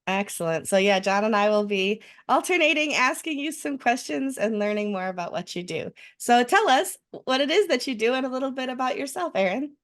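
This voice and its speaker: A woman hosts a podcast in an effusive, bubbly way. Her voice sits a little high. She speaks in a bright, chatty manner and gushes easily. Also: expressive, brisk, hardly any pauses. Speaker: podcast host. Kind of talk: podcast interview.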